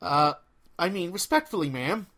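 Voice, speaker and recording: Man voicing an exasperated, high-pitched young witch. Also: animated, normal speed, fairly bright tone. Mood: irritated, insistent. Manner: emphatic, irritated, blurting. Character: exasperated young woman witch, bossy and excitable. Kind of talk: audiobook character voice.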